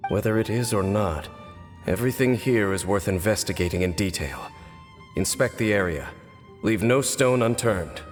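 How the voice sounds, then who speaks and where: A man playing a stoic, serious warrior guide in a video game, speaking formally and in a measured way. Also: lowish voice, animated, in a large hall, faint music behind, close to an everyday voice. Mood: grave, composed.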